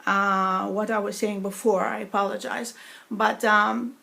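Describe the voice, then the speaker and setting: Halting woman with a foreign-sounding accent. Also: mid-range voice, flat delivery, gravelly, bright, forceful tone. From New York. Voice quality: foreign-sounding accent from a speech disorder after a stroke. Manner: slow halting speech, many fillers, dropped in-between words. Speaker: vlogger sharing her experience. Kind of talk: personal talk to camera.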